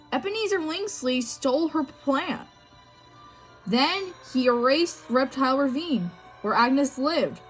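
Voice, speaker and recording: A woman's voice: sits high, a bit bright. A woman narrating a children's storybook read-aloud, telling the tale expressively. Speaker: storybook reader.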